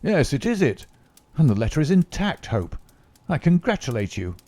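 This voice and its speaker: Shrewd old premier, composed and commanding, warm low tone, a male reader doing him. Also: big pitch swings, moderate pace, dry recording. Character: calm, sharp elderly male prime minister.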